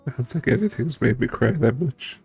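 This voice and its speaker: A streamer talking to viewers in an unscripted way, tearful, sniffling and choked up from crying, halting and at a loss for words. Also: mid-range voice, lively intonation, a little mellow, slightly rough, faint music behind. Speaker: streamer, game playthrough host. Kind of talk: emotional unscripted chat to viewers after finishing a game.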